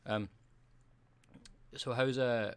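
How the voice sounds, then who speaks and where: A Scottish man hosting a casual podcast, croaky from just waking up, jokey and teasing, laughing often. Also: mid-range voice, steady tone, drawn-out words. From Scotland. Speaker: podcast host. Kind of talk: casual live-streamed podcast chat.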